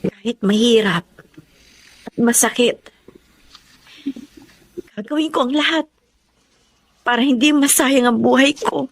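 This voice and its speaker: A woman's voice: fairly high pitch, a little slow, pauses often. An actress with a Filipino accent playing a grieving young woman who lost her twin, in emotional radio drama style. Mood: solemn, reflective. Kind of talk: Filipino radio drama acting, emotional first-person narration. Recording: studio radio drama under music.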